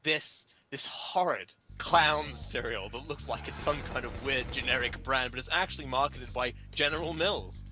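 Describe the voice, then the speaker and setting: A man's voice: medium pitch, moderate pace, few pauses. A man giving a theatrical, comedic dramatic reading of a humorous article to friends, prone to breaking up as he reads. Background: music.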